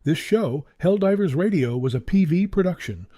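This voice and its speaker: A man's voice: on the high side, animated, moderate pace, dark tone, no room sound, studio quality. A man reading scripted production credits as an announcer.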